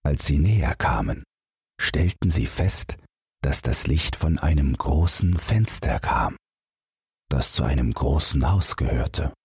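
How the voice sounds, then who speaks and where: A man's voice: rumbling low, lively intonation, slow, husky, dry recording, studio quality. A man narrating a bedtime fairy tale as a scripted audiobook.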